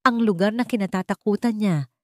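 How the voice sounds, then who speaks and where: A woman narrating scripted dramatic fiction in a measured, suspenseful way, with deliberate pauses. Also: mid-range voice, dry recording, clean studio sound. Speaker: story narrator.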